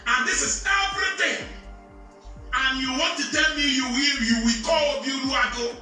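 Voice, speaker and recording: A man's voice: very high-pitched, moderate pace, sharp tone. A man with a Nigerian Igbo accent in a heated, confrontational rant, repeating himself and throwing insults. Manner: agitated, insulting, confrontational, repetitive. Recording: distant, echoey recording with music underneath.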